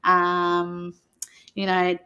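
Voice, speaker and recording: An Australian woman speaking as a guest storyteller, talking and reading a picture book aloud in a gentle manner. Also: lowish voice, monotone, drawn-out words. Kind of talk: unscripted talk and picture-book read-aloud.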